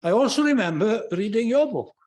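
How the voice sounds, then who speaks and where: A man's voice: high-pitched, lively intonation, fairly quick, a little mellow. An older man with a Welsh accent, whose first language is Welsh, gives an earnest, emphatic vote of thanks after a lecture. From Wales. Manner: earnest and emphatic, with dry asides. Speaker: lecture respondent giving thanks. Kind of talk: formal public vote of thanks. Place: lecture hall.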